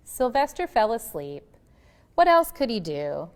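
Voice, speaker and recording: A woman reads a children's picture book aloud in a lively, swooping voice. She stresses key words and pauses for drama, and the room around her rings with long reverb. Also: on the high side, clear, resonant tone. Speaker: librarian reading aloud.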